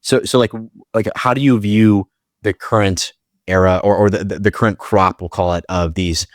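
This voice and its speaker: A man's voice: on the low side, steady tone, normal speed, no echo, studio quality. A young man hosting a podcast, asking long rambling questions full of fillers and restarts. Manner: rambling, hesitant, many fillers. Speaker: podcast host. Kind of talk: casual interview questions.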